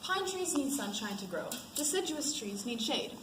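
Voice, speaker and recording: A woman's voice: high-pitched, very animated, normal speed. A woman acting as a sarcastic, exacting college teacher giving a pointed lecture in an amateur stage play. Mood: sarcastic, lecturing. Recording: echoey stage with clear background noise.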